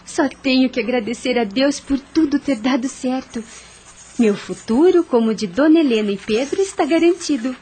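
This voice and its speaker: Young heiress, radio drama, high voice, gentle, polite, formal, expressive. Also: female voice, normal speed, breathy edge. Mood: relieved, grateful.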